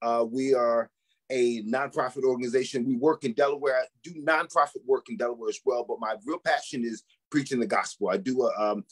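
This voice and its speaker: Fast-talking husky male pastor. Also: mid-range voice, steady tone. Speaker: pastor, interview guest. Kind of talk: casual conversational interview.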